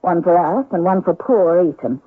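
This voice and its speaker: Commanding young frontier wife, husky voice. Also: female voice, on the low side, lively intonation, normal speed. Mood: brisk, bossy. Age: young adult. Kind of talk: radio drama acting. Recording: narrow-band, echoey old radio recording.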